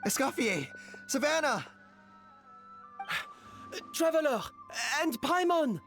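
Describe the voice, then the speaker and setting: A man's voice: very high voice, big pitch swings, takes it slowly, heavily acted. A man playing an earnest, supportive restaurant worker in a video game, with music behind him. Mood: relieved, calling out. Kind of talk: dramatic video game acting.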